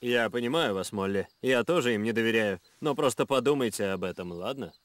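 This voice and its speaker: An actor voicing a level-headed jungle explorer in a cartoon, speaking in a calm, persuasive way. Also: male voice, mid-range voice, a little fast, no room sound, natural voice. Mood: calm persuading. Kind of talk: animated adventure cartoon dub.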